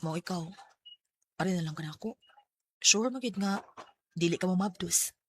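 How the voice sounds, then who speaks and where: A woman's voice: on the low side, normal speed, a bit bright. A woman with a Cebuano Filipino accent playing a persistent, lovestruck young tomboy in a radio drama, talking casually and coaxingly. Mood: persuasive.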